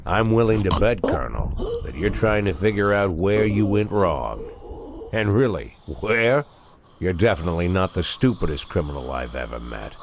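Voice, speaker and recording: An actor playing a sardonic, menacing police boss in a game cutscene, delivering mocking, measured speeches full of rhetorical questions. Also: male voice, deep voice, sing-song, vocal fry, noisy background, heavily acted. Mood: mocking menace. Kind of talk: dramatic video game cutscene acting.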